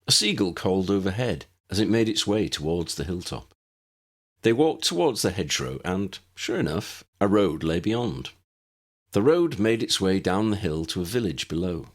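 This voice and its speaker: A man with a gravelly, husky voice reading scripted story narration. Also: deep voice, very animated, normal speed. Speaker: narrator, audiobook reader.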